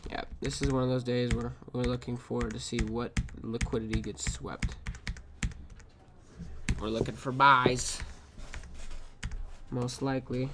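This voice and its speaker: A man's voice: mid-range voice, little pitch movement, measured pace, long pauses, noisy background. A man with a sniffly nose, reviewing his own trades aloud in a casual, profane recap, often muttering to himself.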